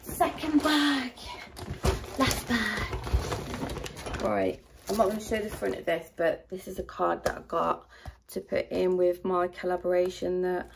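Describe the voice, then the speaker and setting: A young woman chatting casually through a grocery haul in a rambling way, her voice low and a little rough from a dry throat. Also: background noise. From United Kingdom. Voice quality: low, gravelly voice. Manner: chatty and rambling, with many ums and likes. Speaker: vlogger. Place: at home.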